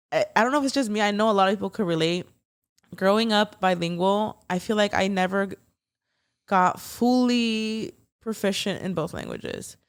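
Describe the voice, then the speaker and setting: Woman, young adult, podcast co-host, casual chat, chatty, fillers, native Spanish speaker. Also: mid-range voice. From United States, Mexican parents. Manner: chatty, swears, many fillers.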